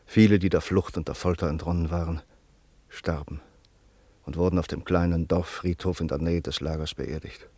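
A man reads the narration of a radio play in a measured, solemn way. His voice is very low and deep. The sound is close and dry, with no room around it. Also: fairly even intonation, dark tone, no echo. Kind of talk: scripted narration.

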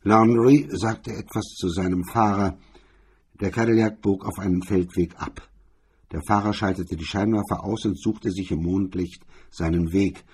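A man narrates a crime story from a script. His voice is dark and mellow, with a heavy husky rasp. It sits fairly low. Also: steady tone, moderate pace.

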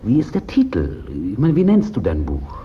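An actor playing a pompous, exacting mentor in a German theatre drama, theatrically disappointed, speaking haltingly and emphatically. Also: male voice, medium pitch, wildly swooping pitch, lo-fi sound. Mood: pondering, instructive. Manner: halting, emphatic, drawn-out repetitions.

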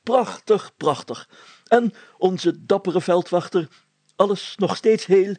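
A man's voice: high-pitched, slow, warm tone, dry recording, voice pushed up, exaggerated voice. A man voicing a bossy, hearty village mayor in a storybook, commanding and pompous, declaiming his lines.

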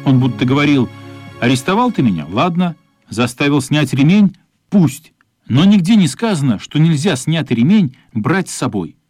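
Guy narrating a radio drama, slightly high voice, very expressive n animated storyteller. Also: moderate pace, slightly rough, no room sound, soft background music. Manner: expressive, acts out characters' thoughts.

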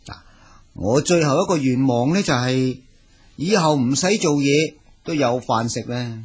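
An actor playing a lazy, blustering farmer husband in a Cantonese folk tale, whiny and bossy. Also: male voice, mid-range voice, a little slow, slightly rough. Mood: pleading.

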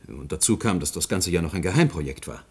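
A man playing a measured academic president in a German radio play, considered and explanatory. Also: low-pitched, expressive, a little mellow, breathy edge, exaggerated voice. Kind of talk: German radio drama acting.

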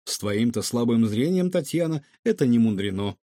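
A man voicing a kind, gentle old professor who speaks softly and mildly. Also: mid-range voice, expressive, normal speed, no room sound, clean studio sound, natural voice. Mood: calm. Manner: soft, mild.

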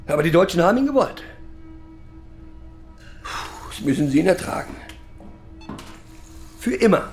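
An actor playing a foul-mouthed, boozy German pub regular who rants crudely and haltingly, sentimental underneath, in a radio drama. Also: male voice, high voice, big pitch swings, faint music behind. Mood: bitter, ranting. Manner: crude ranting, halting and rambling, swearing. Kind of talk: theatrical radio drama monologue.

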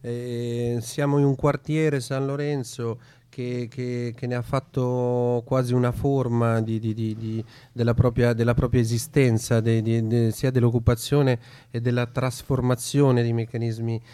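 A man's voice: medium pitch, little pitch movement, a little slow, hardly any pauses, dark tone, smooth, dry recording. A man hosting an informal radio discussion, hesitant and searching, often repeating words as he builds his point. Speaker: radio show host.

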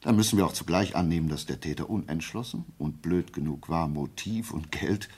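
An actor playing a brilliant, self-assured detective in a German radio play, explaining deliberately and prodding with rhetorical questions. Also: male voice, rumbling low, darkish tone, slightly rough. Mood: analytical, emphatic. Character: brilliant, methodical male amateur detective, self-assured and theatrical.

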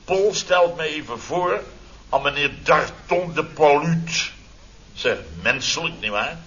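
An actor playing an exasperated, grumbling company boss in a Dutch radio comedy serial from around 1970. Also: male voice, fairly high pitch, slow pace, fairly bright tone. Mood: mocking indignation. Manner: sarcastic, grumbling, exclamatory. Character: blustering, easily exasperated boss of a building firm. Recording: dull, hissy old radio recording.

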